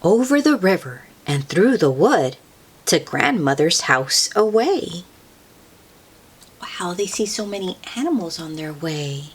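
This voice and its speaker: Woman reading slowly and expressively to children. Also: on the low side, sing-song, slightly rough. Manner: sing-song, asks the listener questions with soft exclamations. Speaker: children's read-aloud host. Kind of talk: expressive picture-book read-aloud for young children.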